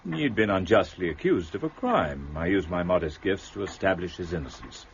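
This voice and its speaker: An actor playing a fussy, eccentric gentleman of reduced means in a period radio drama, brusque and precise with formal diction. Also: sits low, big pitch swings, normal speed, dull audio. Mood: probing, amused.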